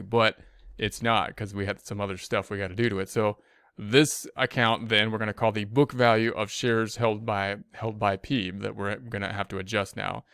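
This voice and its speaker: A man's voice: lowish voice, a bit bright, clean tone, dry recording, studio quality. A man teaching an accounting lesson over a spreadsheet screen recording, thinking aloud with frequent restarts and fillers. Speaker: accounting instructor.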